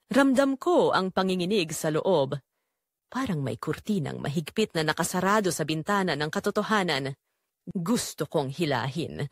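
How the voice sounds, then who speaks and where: A woman's voice: lowish voice, no room sound, clean studio sound. A woman delivering emotive, confessional first-person narration for a scripted audio drama.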